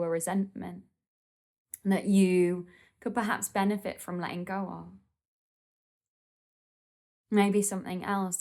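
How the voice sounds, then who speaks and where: A woman leading a guided meditation in a gentle, soothing, unhurried manner with pauses between phrases. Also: on the low side.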